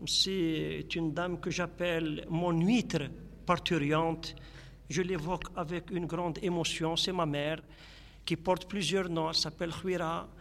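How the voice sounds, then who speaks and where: Man, poet from Algeria, gravelly voice, somewhat high pitch, declaiming verse, radio interview, long reverb. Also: fairly even intonation, a little slow, fairly bright tone, slight background noise. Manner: declaiming, lyrical and grandiloquent. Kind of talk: radio interview with poetry recitation. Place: radio studio.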